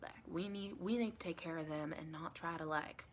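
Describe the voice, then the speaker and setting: A soft-spoken woman playing a chatty, caring female vampire intake interviewer in an improvised ASMR roleplay, rambling and reassuring with pet names. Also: low-pitched, fairly quick, slight background noise, natural voice. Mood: tender. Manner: rambling, reassuring, endearments like honey and sweetie.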